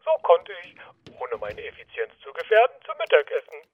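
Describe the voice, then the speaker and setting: A man's voice: on the high side, huge pitch swings, a little fast. A man playing a meek, fussy male office worker, apologetic and over-polite, in a comedy audio drama on a tinny phone line.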